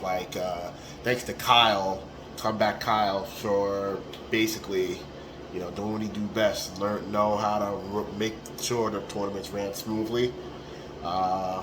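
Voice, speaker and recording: A man in his forties with a hoarse, raspy voice, talking casually into a phone held at arm's length in a small room, with plenty of ums and restarts. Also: lowish voice, background noise. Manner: relaxed and rambling, with many ums and restarts. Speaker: tournament organizer. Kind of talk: casual selfie video recap.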